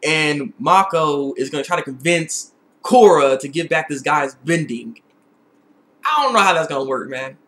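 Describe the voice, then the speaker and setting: A young man giving a casual, excitable episode recap, repeating phrases for emphasis. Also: a little high, normal speed. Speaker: TV episode reviewer. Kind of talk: casual video recap and review.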